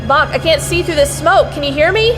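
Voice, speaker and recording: Lost young heroine, high, very expressive, scared but kind, loud music behind. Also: female voice, a little fast. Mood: anxious. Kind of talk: audio drama acting.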